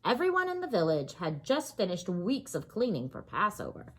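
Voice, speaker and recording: A woman with a husky voice reading a children's story aloud expressively, pausing to explain and ask questions. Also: on the low side. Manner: expressive, explains and asks questions to young listeners. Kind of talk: animated read-aloud of a children's story with asides.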